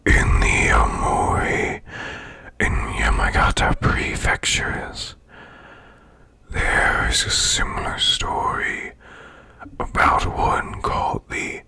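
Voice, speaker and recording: A man voicing a haughty demon CEO in an ASMR roleplay, with a breathy demonic growl and a formal, menacing yet soothing manner. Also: sharp tone. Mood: soothing, sinister. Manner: slow, pompous, formal, deliberate.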